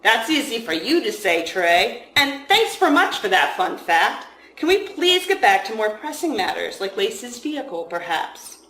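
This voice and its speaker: A woman's voice: a little high, very animated, moderate pace, hardly any pauses, a bit bright, a little hiss or hum. A woman voicing an irritated, earnest younger male FBI agent in a story reading. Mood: resigned, exasperated. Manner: grumbling, put-upon. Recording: roomy, echoing room sound.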